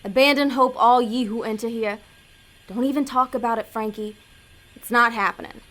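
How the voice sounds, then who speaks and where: A woman's voice: fairly high pitch, steady tone, normal speed, a bit bright, lightly acted. An actress playing a bossy, scheming female demon in an audio drama, blunt, slangy and impatient.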